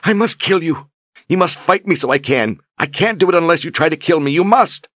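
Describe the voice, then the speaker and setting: A man's voice: on the high side, expressive, normal speed, pushed and bright, dry recording, voice pushed up. A man voicing an anguished young female warrior who screams, sobs and pleads. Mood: frantic.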